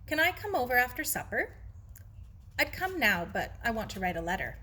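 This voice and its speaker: A bashful young English girl in a storybook , read by a woman. She talks in an earnest, colloquial way, with bright tone and lively pitch. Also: normal speed, husky, full character voice. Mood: bashful, pleased.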